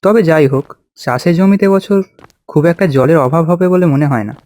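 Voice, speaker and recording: A man's voice: fairly high pitch, a little fast, a little mellow, dry recording, natural voice. A man voicing a hardworking village farmer in a cartoon, with a folksy, exclamatory way of talking. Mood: relieved, musing. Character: hardworking village farmer husband, hopeful and plain-spoken. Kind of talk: cartoon voice acting.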